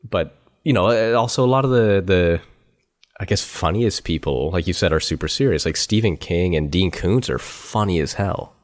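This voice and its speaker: Podcast host guy, really low voice, laid back and agreeable. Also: moderate pace. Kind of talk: casual interview chat.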